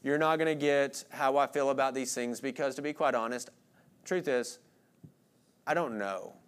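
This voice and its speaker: A man's voice: mid-range voice, moderate pace, clean tone. A man, preaching an expository sermon in an informal, self-deprecating way with rhetorical questions to listeners. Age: late 30s. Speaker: pastor preaching.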